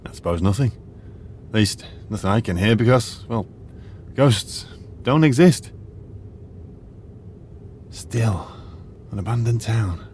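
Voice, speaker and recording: Animated, fussy British archaeologist. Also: male voice, fairly low pitch, slow pace, pauses often. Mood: musing, sardonic. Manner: muttering musings, hesitant, polite. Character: polite, sardonic retired English archaeologist, curious and fussy. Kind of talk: audio drama acting. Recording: faint ambient noise behind.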